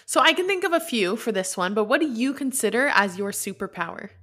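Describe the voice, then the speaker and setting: Woman host, bright and kinda high, quick encouraging reactions. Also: sing-song. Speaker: podcast host. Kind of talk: interview questions.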